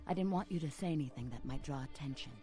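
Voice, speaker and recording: A woman playing a low, sultry vampire seductress. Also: fast, mellow, faint music behind, close to an everyday voice. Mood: sultry, wistful. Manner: breathy, teasing, lingering delivery. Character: seductive female vampire nightclub owner, sultry and melancholic. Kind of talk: video game voice acting.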